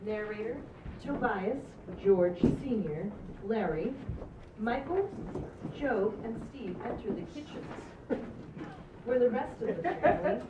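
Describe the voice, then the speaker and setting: A woman's voice: on the high side, expressive, measured pace. A woman narrating stage directions from a script at an amateur table read. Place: small indoor stage. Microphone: far camera. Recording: distant echoey muffled noisy camera audio.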